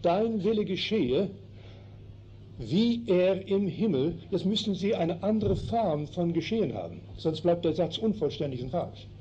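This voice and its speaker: A man from Canada whose first language is German, preaching a slow, emphatic sermon in a chapel that explains Bible verses. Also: high voice, animated, warm tone. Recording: dull lo-fi recording, faint noise.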